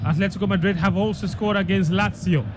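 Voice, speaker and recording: A man's voice: high voice, steady tone, brisk. A man giving live football commentary on the radio. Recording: clear background noise, stadium ambience.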